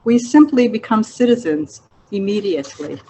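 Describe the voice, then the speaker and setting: A woman author reading her literary memoir aloud in a measured, reflective manner. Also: medium pitch, expressive, mellow, a little hiss or hum, rough recording. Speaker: author reading an excerpt of own book.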